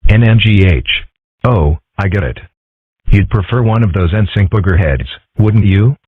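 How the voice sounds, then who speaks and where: A man's voice: fairly low pitch, moderate pace, slightly rough, dry recording. A man voicing a nervous, lovesick schoolboy who stammers and gets flustered. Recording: dry, phone-like lo-fi sound.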